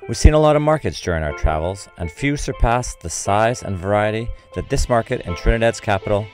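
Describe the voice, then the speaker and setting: A man's voice: fairly low pitch, animated, brisk. A man reading scripted narration for a travel video as a voice-over. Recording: voice-over mixed with clear music. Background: music.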